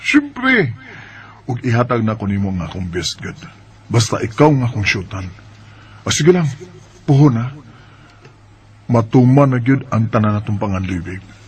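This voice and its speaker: A man's voice: mid-range voice, big pitch swings, a little slow, pauses often. Tender young male sweetheart. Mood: dreamy, affectionate. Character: affectionate young male suitor, sweet and earnest. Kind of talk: Filipino radio drama acting. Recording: faint background noise and ambience.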